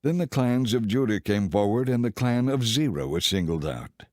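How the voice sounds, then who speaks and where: Low-voiced man narrating scripture. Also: expressive, normal speed, a little mellow, dry recording.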